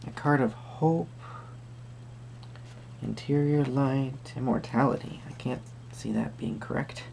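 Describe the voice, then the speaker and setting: A performer playing a gentle, eccentric tarot card reader in an intimate roleplay, musing and hesitant, with a breathy voice that often drops to a whisper. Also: medium pitch, slow, slight background noise. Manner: musing, hesitant, softly trailing off.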